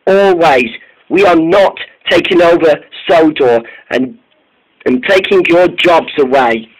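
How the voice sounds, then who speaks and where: A man voicing a cheerful, plucky female train engine in a read-aloud story, in a high bright voice. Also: slow pace, rough recording. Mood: earnest. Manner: bright, upbeat and high-pitched.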